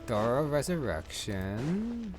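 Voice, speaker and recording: A man's voice: medium pitch, wildly swooping pitch, slow pace. A man giving casual gameplay commentary as a streamer, rambling and thinking aloud as he plays. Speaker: gaming streamer. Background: game music.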